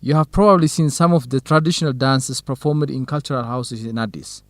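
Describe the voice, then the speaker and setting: Man playing gentle young male researcher, educational radio drama, middle-pitched, measured, close dry sound. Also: dry recording, natural voice. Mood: informative. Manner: measured, simple phrasing for English learners. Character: kind, modest young Ethiopian male researcher.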